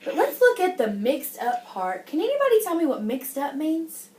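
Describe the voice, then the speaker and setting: Lady teacher doing a storytime for little kids, high voice, encouraging, lots of questions, roomy echo. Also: huge pitch swings, moderate pace, darkish tone. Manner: encouraging, addresses the class as guys, frequent check-in questions. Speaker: teacher reading a picture book to young children. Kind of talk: interactive classroom read-aloud with questions and praise. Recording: echoey room.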